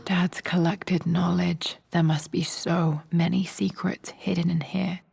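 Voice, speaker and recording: An actress playing a pensive, quietly awed young adventurous daughter in a video game, murmuring to herself in a hushed voice. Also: female voice, on the low side, little pitch movement, unhurried. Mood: hushed wonder. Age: young adult. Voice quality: creaky, hushed. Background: music.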